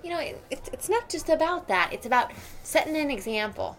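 A woman's voice: high voice, animated, brisk, low-quality recording. A woman playing a snobbish, judgmental wealthy school mother in an improvised radio play, rambling on with boastful opinions. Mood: indignant, opinionated.

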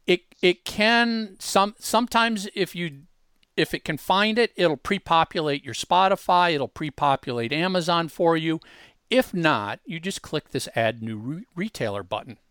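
Man giving a casual, dry-sounding software walkthrough. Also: mid-range voice, dry recording. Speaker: presenter demonstrating a web tool.

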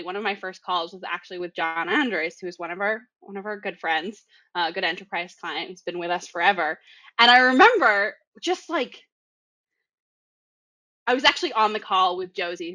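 A woman's voice: mid-range voice, lively intonation, normal speed, sharp tone, dry recording. A young woman from the Boston area with a Boston accent, chatting casually and laughing often. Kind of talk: casual podcast chat.

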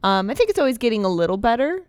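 A woman's voice: fairly high pitch, sing-song, racing, darkish tone, smooth, no room sound, studio quality. A woman with a Buffalo, New York accent and a nasal voice, co-hosting a casual podcast chat with sarcastic asides and frequent laughter. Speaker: podcast co-host.

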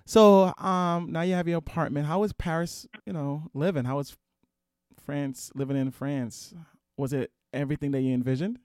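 A man's voice: fairly high pitch, steady tone, normal speed, darkish tone, no echo, studio quality. A man hosting an interview, asking questions casually with slang and short reactions. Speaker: podcast host. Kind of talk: conversational interview.

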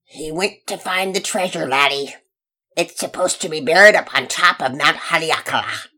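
A woman voicing gruff male pirates in a storybook reading, growling pirate talk with loud exclamations. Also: sits low, normal speed. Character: gruff male pirates, Redbeard and Cockeye Jay.

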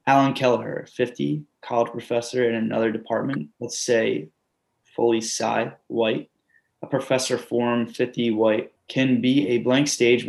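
Male stage-directions reader, heavy vocal fry, fairly even intonation, clipped halting phrases. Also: medium pitch, low-quality recording. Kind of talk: reading out character and scene descriptions.

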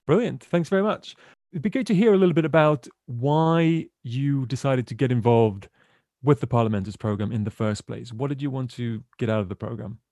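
A man's voice: mid-range voice, a little fast, mellow, no room sound, clean studio sound. A man hosting a podcast and introducing a group of students, friendly and lightly joking. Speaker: podcast host.

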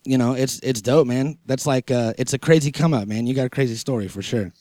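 Slangy man, mid-pitched, dry close sound. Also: warm tone, dry recording. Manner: slangy, halting. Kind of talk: casual chat.

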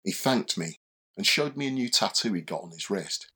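A man's voice: fairly low pitch, lively intonation, moderate pace, bright, forceful tone, husky, full character voice. An actor playing a young, self-deprecating bar worker, telling his story in a conversational, wry, confiding radio drama monologue.

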